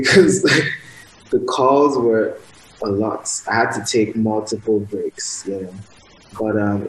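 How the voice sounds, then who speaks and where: Young Nigerian man, low voice, relaxed interview guest, slightly echoey room with faint ambience. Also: slight background noise. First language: Igbo. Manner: soft-spoken, relaxed, lots of fillers. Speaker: guest musician. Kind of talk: casual radio interview. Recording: roomy sound with faint ambience.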